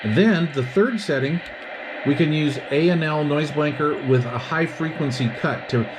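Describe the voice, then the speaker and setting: A man's voice: on the high side, unhurried, noisy background. A man giving a casual hands-on product review, explaining things step by step.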